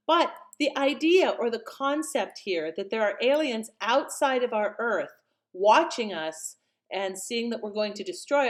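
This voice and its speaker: A woman comments informally as a host, explaining and thinking aloud. Her voice is medium in pitch and her phrasing is conversational. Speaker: host commenting on an old radio play.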